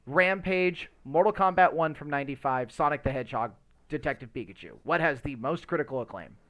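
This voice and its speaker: Animated man rambling through podcast opinions. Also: on the high side. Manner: rambling, halting search for words. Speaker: podcast host. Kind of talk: casual chat about movies.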